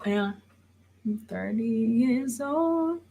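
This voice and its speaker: Slow-talking woman in her thirties. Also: a little high, animated, gravelly, mellow, slightly rough, highs cut off. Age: 30s. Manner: rambling and meandering, reads viewer comments aloud. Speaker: livestream host. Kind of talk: casual livestream chat.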